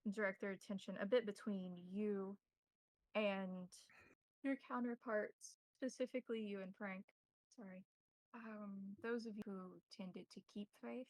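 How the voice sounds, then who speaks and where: A woman runs a tabletop roleplaying game as game master. Her voice sits at a middle pitch with a lot of creak. The sound is dry and close, as she narrates and addresses her players. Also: no room sound, rough recording.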